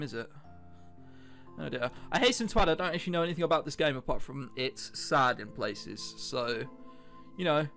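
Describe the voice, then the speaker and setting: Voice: mid-range voice, a bit bright. A let's-player of unclear sex giving casual commentary and reading a visual novel's text aloud, with wry asides. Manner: self-interrupting, wry joking asides. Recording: music behind the voice. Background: game music.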